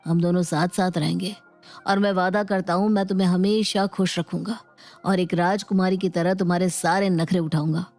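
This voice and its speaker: A woman voicing a smooth-talking young man who sweet-talks and persuades in a dramatized moral story. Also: on the low side, steady tone, moderate pace, darkish tone, soft background music, natural voice. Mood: persuasive, pleading. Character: smooth-talking young male online suitor, flattering and insistent.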